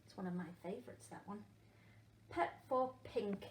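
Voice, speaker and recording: A woman, a primary school teacher, leading an interactive phonics lesson for young children, encouraging them and asking questions with pauses for answers. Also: mid-range voice. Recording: echoey room with faint background noise.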